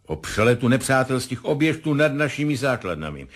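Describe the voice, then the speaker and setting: An actor playing a sardonic, middle-aged military colonel in a radio drama, formal, commanding and pointed. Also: male voice, medium pitch, very animated. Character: domineering, sardonic military colonel running an interrogation. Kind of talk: 1970s radio drama acting.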